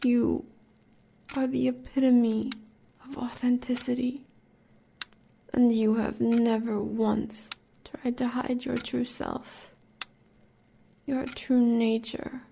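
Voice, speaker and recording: A woman's voice: fairly high pitch, creaky, warm tone, a little hiss or hum. An American woman playing a fierce, devoted huntress in an audio roleplay, with intense, formal, dramatic speech. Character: devoted, fierce huntress lover of a werewolf villain.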